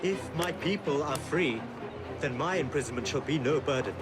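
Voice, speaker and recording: An actor playing a courteous, dignified exiled Indian maharaja in a video game, speaking in a formal, measured way. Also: male voice, on the high side, over loud music, close to an everyday voice. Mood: dignified, resolute. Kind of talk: dramatic video game cutscene acting.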